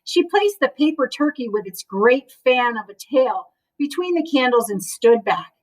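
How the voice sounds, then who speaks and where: Children's storyteller: woman, high voice, casual with listeners, picked up from a little way off. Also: very animated, normal speed, recorded from a distance, poor audio. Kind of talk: read-aloud storytelling for children.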